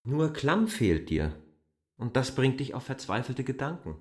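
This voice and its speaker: Radio drama lead: stubborn, self-contained man, medium-pitched, measured and quiet with his lover. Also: very animated. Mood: firm. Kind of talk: literary radio drama acting.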